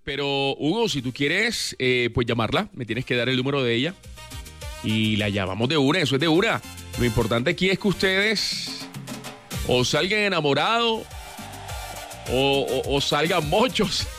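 A man hosting a late-night radio show, talking in an animated, upbeat way and now and then singing short lines. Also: medium pitch, normal speed, soft background music. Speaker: radio host. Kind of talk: lively radio presenting. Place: radio studio.

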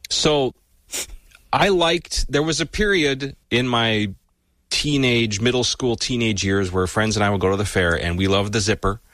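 A man's voice: mid-range voice, measured pace, fairly bright tone, no room sound. Wry Midwestern man, radio guest. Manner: easygoing, wry, rambling. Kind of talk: casual radio conversation. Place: radio studio.

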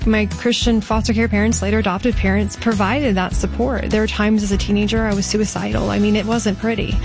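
A woman's voice: mid-range voice, little pitch movement, brisk. A woman giving a personal testimony in a conversational interview style. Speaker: interview guest in preview clip. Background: music.

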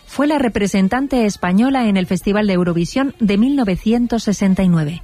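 A woman narrating a documentary in an even, informative scripted read. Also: medium pitch, a little fast, dry recording.

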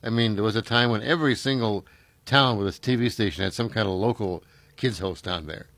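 A man's voice: on the low side, fairly quick, fairly bright tone, dry recording. An older man, hosting a casual chat and reminiscing in a rambling way, full of fillers and restarts.

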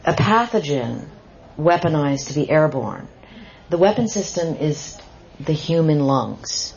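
A woman's voice: low voice, expressive, a little slow, darkish tone. A woman author answering audience questions at a book talk, explaining points emphatically with frequent restarts. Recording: dull lo-fi live event recording.

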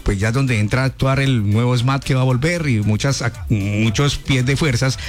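A man's voice: medium pitch, normal speed. A man hosting a radio show, chatting and teasing in a loose, joking way. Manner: chatty, teasing, talks over others. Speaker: radio host.

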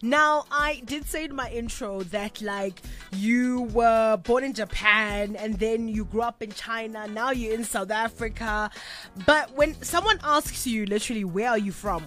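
An enthusiastic woman hosts a radio show from the studio, her voice bright and high. She encourages her guest warmly with quick affirmations. Faint music sits underneath. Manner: warm-hearted, enthusiastic, affirming. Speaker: radio host. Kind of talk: radio interview.

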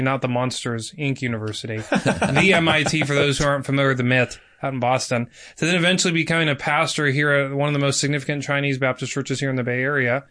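A man's voice: medium pitch, sharp tone. A man hosting a podcast in a casual, chatty and informal interviewing style.

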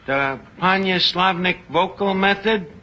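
A sarcastic wisecracking tavern manager. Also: male voice, high voice, a little slow, pushed and bright, full character voice. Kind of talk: 1940s radio comedy acting. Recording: scratchy, hissy old radio recording.

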